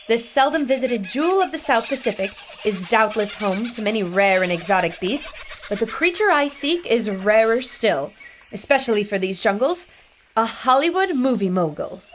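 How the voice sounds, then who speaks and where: An intrepid 1920s newswoman acted by a woman proclaims in a high, plucky voice in classic radio drama style. She sounds determined and eager. A clear bed of ambient noise sits behind her. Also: animated, moderate pace, close to an everyday voice. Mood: wry, adventurous. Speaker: actor in audio drama. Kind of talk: old-time radio drama acting.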